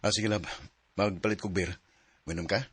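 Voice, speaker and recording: An actor playing a distracted, dismissive young boyfriend with an offhand manner and a Cebuano Filipino accent, in a radio drama. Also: male voice, deep voice, steady tone, normal speed, natural voice. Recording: noisy radio drama audio with clicks.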